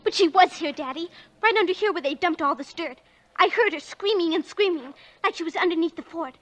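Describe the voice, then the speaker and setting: A woman's voice: very high voice, fairly quick, fairly bright tone. An actress playing an anxious, earnest young girl in a 1940s radio suspense drama, pleading with breathless urgency. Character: anxious, earnest young girl, daughter telling her story. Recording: 1940s radio broadcast, faint music behind.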